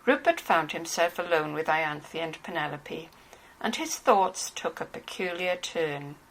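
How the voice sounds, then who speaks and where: A woman's voice: deep voice, animated, unhurried, low-quality recording. A woman narrating a novel from a script. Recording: faintly hissy home recording.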